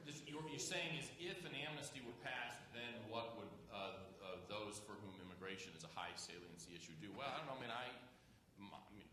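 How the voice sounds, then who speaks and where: A man arguing his point on a panel, restarting sentences and filling pauses as he goes. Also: fairly low pitch, lively intonation, a crowd in the background. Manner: argumentative, frequent restarts and fillers. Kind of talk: panel discussion. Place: lecture hall. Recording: echoey lecture hall.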